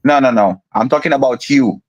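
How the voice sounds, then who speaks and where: A man's voice: mid-range voice, fairly quick, dull audio. A man hosting a podcast debate, pressing his guest with repeated questions and talking over him. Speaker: podcast host. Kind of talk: heated debate.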